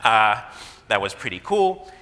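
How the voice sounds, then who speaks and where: A man in his thirties, originally from Israel, giving a casual, animated conference talk as a guest speaker. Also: on the low side, a little slow. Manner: casual, animated presenter with fillers. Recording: long hall reverb.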